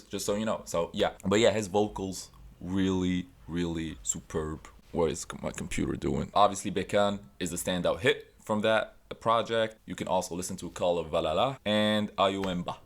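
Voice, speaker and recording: A young man from Tunisia, a native Arabic speaker, chatting casually about music he recommends, with loose fillers and restarts. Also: lowish voice. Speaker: music vlogger.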